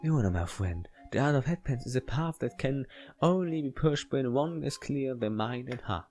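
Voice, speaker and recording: A man's voice: medium pitch, expressive, normal speed, a little mellow, faint music behind, lightly acted. A man voicing a playful, teasing visual novel character with theatrical, mock-solemn delivery, reading the dialogue aloud.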